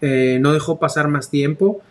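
A man's voice: on the high side, moderate pace. A man in his thirties hosting an interview over a video call, friendly and chatty, speaking into a large studio microphone in a small room. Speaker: host. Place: small room with grey wall. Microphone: large studio mic on arm.

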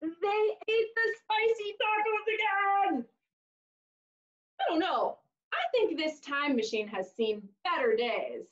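A woman in her thirties reading a storybook aloud to young children with expressive, exaggerated delivery and dramatic pauses, in a small room. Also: very high-pitched. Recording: dull, lo-fi webcam audio.

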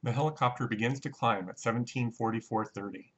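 A man giving a measured, matter-of-fact scripted technical narration as an explainer. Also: medium pitch, a bit bright, slightly rough, dull audio. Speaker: narrator of a flight reconstruction.

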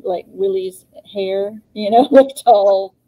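Chatty woman co-host with a mellow voice. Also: mid-range voice, slow, low-quality recording. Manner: chatty, laughs often, many ums. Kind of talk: live online plant advice show. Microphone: webcam on a video call.

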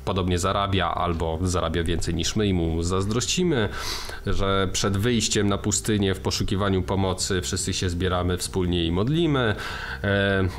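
A man with a somewhat low voice talks through a film discussion in a casual, halting way. He restarts often and fills pauses while he searches for the right word. A faint background noise sits under the recording. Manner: hesitant, many restarts and fillers, thoughtful. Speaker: podcast co-host.

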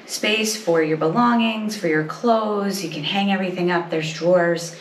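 A woman's voice: lowish voice, moderate pace. A woman, talking casually to camera as a travel vlogger indoors. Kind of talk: casual vlog talk to camera. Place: indoors in a small apartment. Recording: echoey rooms, some distant with noise.

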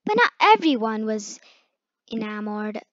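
Slow, high-voiced woman reading a storybook. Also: very animated. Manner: halting, stumbles over hard words. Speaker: read-aloud storyteller. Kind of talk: reading a children's picture book aloud. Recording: muffled, echoey room recording.

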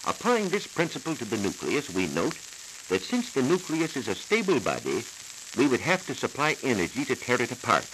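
A man's voice: mid-range voice, wildly swooping pitch, fairly bright tone. Formal 1940s American radio science man, crackly disc. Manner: formal, measured, didactic. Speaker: science reporter. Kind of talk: 1940s radio science lecture, scripted explanation.

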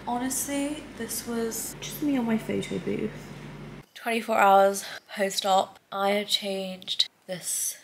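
A woman's voice: medium pitch, noisy background. A young woman vlogging her recovery to a close laptop camera, chatty and candid, with a badly congested, stuffy nose. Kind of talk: casual recovery vlog to camera. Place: bedroom, indoors.

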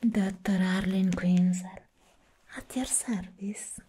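A woman playing an attentive, slightly mad doctor in a soft, soothing, close-up ASMR roleplay whisper. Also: medium pitch, little pitch movement, husky. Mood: sly, coy. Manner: slow, soothing, coy. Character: attentive, smooth-talking woman doctor with sly madness. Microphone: close microphone.

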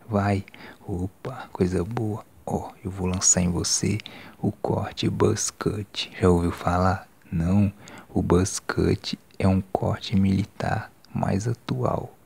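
Hushed, very creaky Brazilian man. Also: low-pitched, fairly even intonation, slow pace. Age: 20s. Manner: hushed, casual, slangy. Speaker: ASMR creator playing a barber. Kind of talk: ASMR roleplay, soft-spoken and whispered. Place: small decorated indoor room. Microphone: handheld phone microphone up close.

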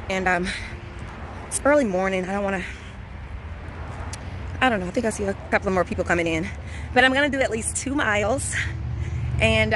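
A woman's voice: mid-range voice, background noise. A middle-aged woman chatting casually to her viewers, laughing often, filmed with a handheld phone in a car and out in a park. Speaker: vlogger. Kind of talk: casual vlog chat. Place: in a parked car and walking outdoors in a park. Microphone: phone camera held at arm's length.

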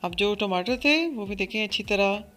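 Bright-voiced woman explaining a recipe. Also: medium pitch, moderate pace, faint music behind. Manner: steady step-by-step instruction, addresses viewers as friends. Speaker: cooking channel host. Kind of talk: recipe voice-over.

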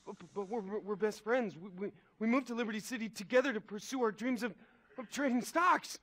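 A man playing an eager, hapless young trader sidekick in a satirical video game movie, slangy and halting. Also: high-pitched. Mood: pleading. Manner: slangy, stammering, excitable.

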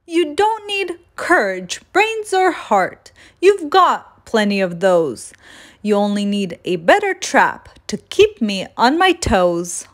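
A woman's voice: mid-range voice, big pitch swings, takes it slowly. A woman voicing a cheeky, boastful little gingerbread man in a children's storybook, playful and taunting in sing-song rhyme. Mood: teasing. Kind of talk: children's storybook read-aloud.

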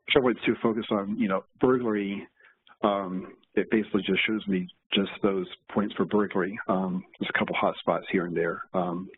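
A man walking through a software demo at a meetup, talking in a halting way with many fillers and restarts. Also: fairly low pitch, slightly rough. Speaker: presenter. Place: meetup room. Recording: tinny, lo-fi meetup recording.

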